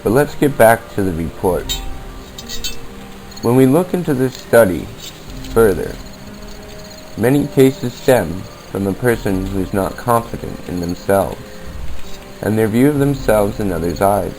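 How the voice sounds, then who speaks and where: A man's voice: on the low side, animated, measured pace, long pauses, a little mellow, faint music behind, low-quality recording. A man hosting a podcast, reading scripted informational narration on a basic amateur setup. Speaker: podcast host. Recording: amateur podcast, basic equipment, uneven levels.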